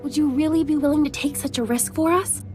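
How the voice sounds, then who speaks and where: An actress playing an earnest, conciliatory settler in a video game, polite and pleading. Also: female voice, sits high, fairly even intonation, fast, over music, close to an everyday voice.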